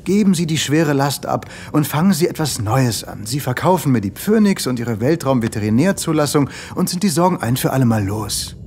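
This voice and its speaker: Coaxing, manipulative smooth talker. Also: male voice, mid-range voice, sing-song, brisk, hardly any pauses, darkish tone, a little hiss or hum. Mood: persuasive. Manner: coaxing, persuasive, insinuating. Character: smooth-talking, manipulative male tempter and buyer. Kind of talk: comic audio drama acting.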